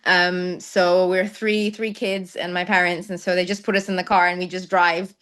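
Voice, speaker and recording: A woman's voice: on the low side, little pitch movement, normal speed, clear, resonant tone. Woman from the Netherlands, hesitant chat. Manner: thoughtful, with many ums and soft laughs. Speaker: guest, travel content creator. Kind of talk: conversational interview.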